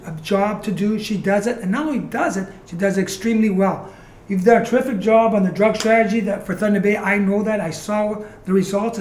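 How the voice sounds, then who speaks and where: A community TV host speaks quickly and in a friendly way, asking questions and thanking the guest. The voice sits in the middle of the range, and the room adds a clear echo. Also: mid-range voice, a little mellow, slight background noise. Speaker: talk show host. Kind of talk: community TV interview. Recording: echoey room.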